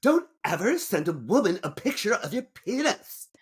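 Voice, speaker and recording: Man acting as an aggrieved woman for a comic podcast reading: indignant, theatrical, swinging pitch. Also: big pitch swings, normal speed, husky, exaggerated voice. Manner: mock-outraged, theatrical.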